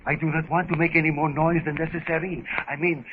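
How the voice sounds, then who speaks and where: An actor playing a courteous, watchful French lieutenant with a French accent in a 1930s radio serial. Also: male voice, fairly high pitch, flat delivery, fast talker, lightly acted. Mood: alert. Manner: polite, earnest, sprinkled with French phrases. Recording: muffled, noisy 1930s radio recording.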